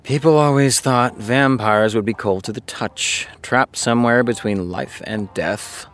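A man's voice: medium pitch, a little slow, a bit bright, soft background music. A man acting in a fiction podcast as an exasperated, sarcastic TV creator who reads fan fiction aloud. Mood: reading aloud. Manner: sardonic, muttering asides between read passages.

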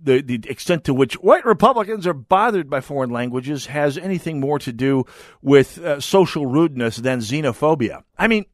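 A man's voice: a little high, expressive, normal speed, dry recording, studio quality. A man hosting a talk radio show, speaking emphatically and wryly, with frequent restarts. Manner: emphatic, wry, restarts often. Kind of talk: talk radio monologue and calls.

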